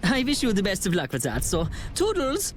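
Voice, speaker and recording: A sly, theatrical arms dealer. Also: male voice, high-pitched, wildly swooping pitch, normal speed, background noise. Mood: mocking. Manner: theatrical, mocking, silky.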